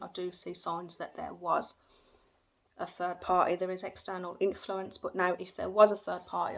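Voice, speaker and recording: A woman's voice: lowish voice, gravelly. A woman giving a tarot reading at home, who hedges, repeats herself and goes by what she feels, with her children faintly heard in the background. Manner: hedging, repeats phrases, speaks by feeling. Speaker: tarot reader. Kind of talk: tarot card reading for viewers. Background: faint noise of her young children.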